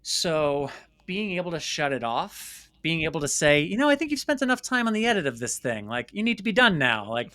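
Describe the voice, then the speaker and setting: Earnest American man, higher-pitched voice. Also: sing-song, sharp tone, dry recording. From United States. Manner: earnest, emphatic, with repeated words and pauses for stress. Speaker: interview guest, documentary filmmaker. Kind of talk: reflective personal storytelling in conversation.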